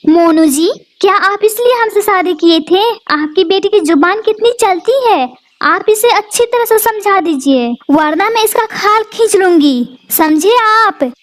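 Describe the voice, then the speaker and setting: Cartoon stepmother bird, shrill and vicious, a woman voicing her, very high voice. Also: lively intonation, unhurried. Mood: spiteful. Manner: harsh, threatening. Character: cruel stepmother bird, spiteful and threatening.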